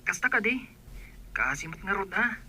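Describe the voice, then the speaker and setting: A woman's voice: mid-range voice, lively intonation, normal speed, pushed and bright, breathy edge, exaggerated voice. A woman playing a polite, earnest young male student in an Ilocano radio drama, with an Ilocano accent. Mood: surprised, reassuring. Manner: respectful, earnest. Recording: music under the voice.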